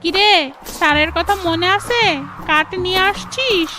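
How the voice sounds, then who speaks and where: An actor as very high-voiced schoolkid. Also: unhurried, music in the background. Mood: confiding. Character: cheeky, confiding village schoolchild. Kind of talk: animated story dialogue.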